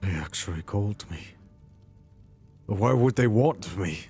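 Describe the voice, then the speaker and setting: Man acting a slow, halting, bewildered mercenary hero. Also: lowish voice, expressive, darkish tone, breathy edge, faint music behind, exaggerated voice. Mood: awed. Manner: halting, breaks off and repeats phrases. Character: bewildered male mercenary, newly chosen dragon-slaying hero. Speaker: player voicing his own game character. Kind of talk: immersive fantasy roleplay acting.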